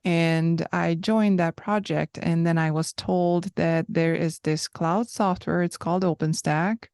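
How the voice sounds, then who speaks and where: A woman in her thirties with a low, smooth voice, recounting her story as an interview guest. She speaks slowly and reflectively, pausing often. The sound is dry and close. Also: fairly even intonation, a little mellow, dry recording. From Hungary. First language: Hungarian. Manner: thoughtful and reflective, with frequent pauses.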